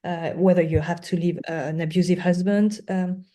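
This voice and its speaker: A woman who is not a native English speaker, talking as a podcast guest in an earnest, halting way with emphatic repetition. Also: lowish voice, fairly even intonation, mellow. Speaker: guest lawyer. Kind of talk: conversational podcast interview.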